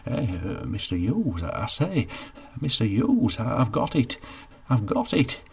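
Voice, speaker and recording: A man's voice: sits low, lively intonation, measured pace, gravelly, breathy edge, exaggerated voice. A man voicing a scruffy, sheepish jobless man of about fifty, who mumbles and stammers. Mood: eager. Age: 50s.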